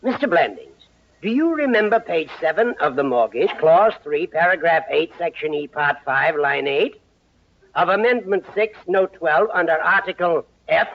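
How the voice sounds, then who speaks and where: A man's voice: fairly high pitch, lively intonation, moderate pace. A man playing a stern, officious banker in an old-time radio comedy, formal and pedantic in his speech. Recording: dull vintage radio with faint noise.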